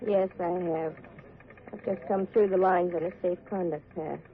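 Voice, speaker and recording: Young officer's wife actress, weary, subdued, earnest; 1940s radio drama, thin noisy recording. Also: female voice, fairly low pitch, fairly even intonation, moderate pace, phone-quality sound, lightly acted.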